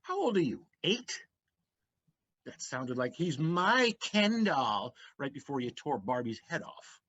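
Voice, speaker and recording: A man's voice: on the high side, sing-song, a little slow, a bit bright, muffled recording, heavily acted. A man playing a weary, defensive ex-husband in an audio play, with dry, exasperated retorts.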